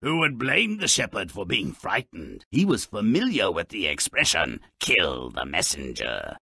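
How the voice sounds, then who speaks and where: An actor voicing a gleefully morbid, sardonic dwarf storyteller in a video game, raspy, mocking and theatrical as he narrates twisted fairy tales. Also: low-pitched, huge pitch swings, a little slow, bright, forceful tone, dry recording, clean studio sound, full character voice. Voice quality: raspy, gravelly. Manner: mocking, theatrical, relishing the gruesome.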